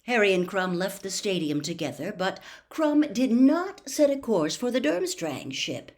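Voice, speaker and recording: A woman reading a story aloud as narrator, in an expressive audiobook style. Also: mid-range voice, measured pace.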